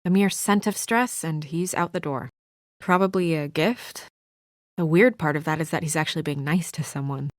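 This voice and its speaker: An actress playing a brisk, guarded young female office assistant in a noir video game drama, speaking in a crisp, businesslike, dry manner. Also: deep voice, no room sound, natural voice. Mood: dry, sardonic.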